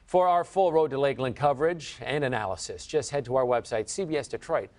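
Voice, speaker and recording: A man's voice: medium pitch, fast talker. An American man presenting a sports segment in scripted news narration.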